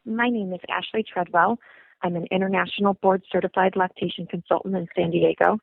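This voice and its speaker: A woman who is a lactation consultant gives calm, instructive advice with frequent ums, heard over a phone-like recording. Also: fairly low pitch, brisk, gravelly, dry recording. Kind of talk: advice answer. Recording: phone-like, narrow band.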